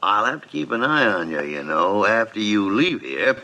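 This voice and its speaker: An actor playing a gruff, grumpy old doctor in a 1950s radio drama, muttering and exclaiming in a gravelly voice. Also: male voice, lowish voice, sing-song, normal speed, pushed and bright, full character voice. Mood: fond, flustered. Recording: vintage 1950s radio broadcast.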